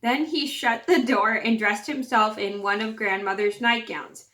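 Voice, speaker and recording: A woman's voice: a little high, fairly even intonation, measured pace, a bit bright. A woman with a scratchy voice hosting her channel and reading a fairytale aloud, with chatty, apologetic asides. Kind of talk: storybook read-aloud.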